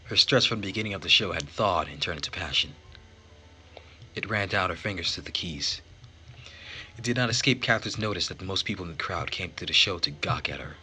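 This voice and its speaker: A man reading a visual novel aloud as host and narrator, with measured, theatrical phrasing. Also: sits low, bright, forceful tone, slight background noise. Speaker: let's play host and narrator.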